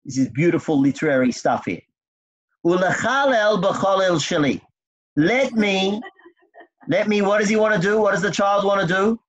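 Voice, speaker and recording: A man's voice: sits high, moderate pace. A man teaching a text study class, explaining step by step and quizzing his students. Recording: dull lo-fi recording.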